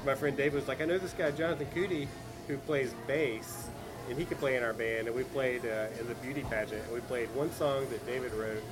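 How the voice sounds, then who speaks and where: Man, southern georgia accent, easygoing, rambling, mid-pitched, music playing behind. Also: fairly even intonation, a little fast. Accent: Southern US, Valdosta Georgia. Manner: easygoing, rambling with fillers and restarts. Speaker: guest author and musician. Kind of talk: casual chat.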